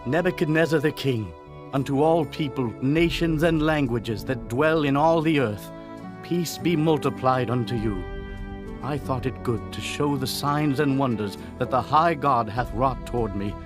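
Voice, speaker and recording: A man's voice: medium pitch, unhurried, close to an everyday voice. A man playing a proud, commanding ancient king in a dramatized scripture reading, declaiming in stately archaic English. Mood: stately, exultant. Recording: music bed under the voice.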